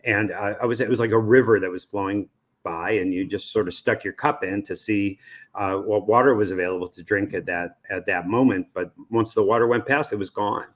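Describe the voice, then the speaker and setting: Interview guest, American man, lowish pitch, industry analyst explaining step by step. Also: fairly quick, a bit bright. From United States. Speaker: media research executive, interview guest.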